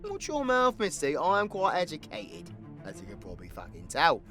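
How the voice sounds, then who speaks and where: A man's voice: on the high side, huge pitch swings, moderate pace, bright, forceful tone, soft background music, full character voice. A man with a British accent playing a cocky, foul-mouthed, sarcastic supervillain who tells stories in an audio roleplay. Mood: storytelling, wry. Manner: cheeky, sweary, colloquial, theatrical swings into exclamation. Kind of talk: villain audio roleplay, rambling comedic storytelling.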